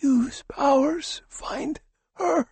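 Brief: a man playing a frail dying man pleading in a breathy whisper. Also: high voice, a little slow, no echo, voice pushed up, exaggerated voice.

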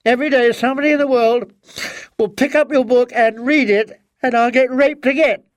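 A man's voice: very high voice, steady tone, normal speed, dry recording, clean studio sound. A man voicing an anguished young adult daughter, strained and accusing, in an audiobook reading. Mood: bitter, furious. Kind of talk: audiobook character acting.

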